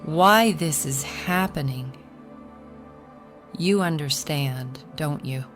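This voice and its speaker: A woman playing an anxious, devoted young woman in an audio drama, with a hushed, halting, earnest way of talking. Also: deep voice, faint music behind. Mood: worried, tender.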